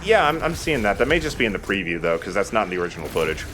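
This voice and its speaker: A man's voice: on the low side, big pitch swings, rapid-fire, noisy background. A man giving casual let's play commentary as the player, informal, sweary and self-deprecating.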